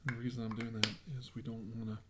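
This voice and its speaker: A man doing a soft-spoken ASMR roleplay as an examiner, talking in a hushed, reassuring way. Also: mid-range voice, flat delivery, fast talker, over loud music. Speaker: ASMR roleplayer acting as examiner treating a client.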